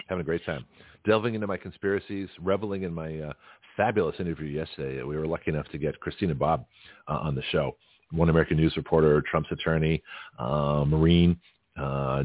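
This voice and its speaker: A man with a very low, gravelly and husky voice hosts a talk radio show, rambling fast and chatty while slightly hoarse from a cold. The sound is dry and narrow, like a phone line. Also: no echo. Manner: rambling, chatty, self-interrupting. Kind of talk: casual talk radio monologue.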